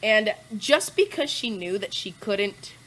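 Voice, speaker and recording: A woman's voice: medium pitch, lively intonation, unhurried, a bit bright, poor audio. A woman on a podcast as the guest, chatting casually and telling stories in a laid-back, profane way, with lots of fillers and laughs. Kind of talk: casual chat.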